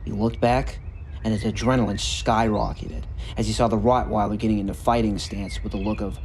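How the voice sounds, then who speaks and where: A man reading a scripted story narration in a measured, earnest way. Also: lowish voice, a little mellow, slight background noise. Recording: music bed under the narration. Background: background music.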